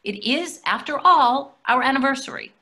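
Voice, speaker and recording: Bright, husky wife played by a woman. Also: high-pitched, animated, normal speed, exaggerated voice. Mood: insistent. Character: placating, insistent wife on anniversary trip. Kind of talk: readers theatre comedy acting. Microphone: video call.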